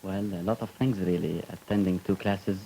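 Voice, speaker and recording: A young man in his late teens from Lebanon, speaking in a measured, earnest way as a student delegate. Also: sits low, steady tone, gravelly, mellow, slight background noise, rough recording.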